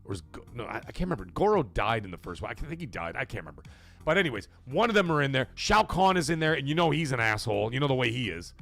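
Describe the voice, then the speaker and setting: A man hosting a casual gaming live stream, rambling excitedly with fillers and restarts, greeting chat viewers and swearing casually. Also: mid-range voice, fast talker, gravelly, faint music behind. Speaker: livestream podcast host.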